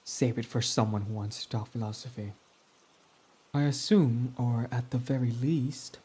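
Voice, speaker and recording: A man's voice: mid-range voice, warm tone, husky. A man voicing a prickly, snarky male villain in an ASMR roleplay, talking in a sarcastic, mocking, dismissive way.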